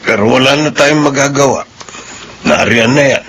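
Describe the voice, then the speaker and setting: Gravelly elderly retired soldier. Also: male voice, medium pitch, lively intonation, moderate pace, a bit bright. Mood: gentle, consoling. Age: older. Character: patient, principled elderly retired soldier, kindly benefactor. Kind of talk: radio drama acting with narration. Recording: noisy radio drama recording.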